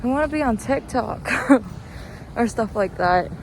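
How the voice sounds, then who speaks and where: Chirpy, slow-paced woman chatting over street noise. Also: fairly high pitch, expressive, a little mellow, low-quality recording. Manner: giggly, chuckles often between phrases; halting, peppered with "like". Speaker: host of a personal walk-and-talk podcast. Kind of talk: casual rambling solo chat. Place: outdoors, walking along a street. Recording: clear outdoor street and car noise. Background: passing cars, urban outdoor ambience.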